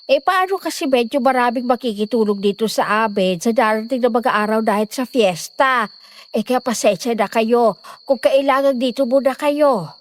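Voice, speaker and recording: A woman's voice: on the high side, normal speed, natural voice. A woman playing a fussy middle-aged village mother in an audio horror drama. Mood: apologetic, hospitable.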